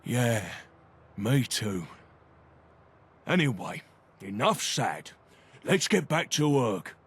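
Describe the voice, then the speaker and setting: Rough fight-loving mate, video game companion, blunt, creaky voice, swinging pitch. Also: male voice, big pitch swings, slow, heavily acted. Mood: sincere, gruff. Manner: blunt, plain-spoken. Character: rough, loyal brawler mate who loves a fight. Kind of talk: video game character dialogue.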